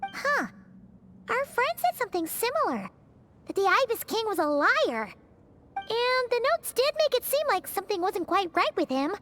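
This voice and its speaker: A woman voicing a small, excitable childlike fairy companion in a video game, with squeaky, exclamatory speech. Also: a little hiss or hum, full character voice. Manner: exclamatory, talks of herself in third person.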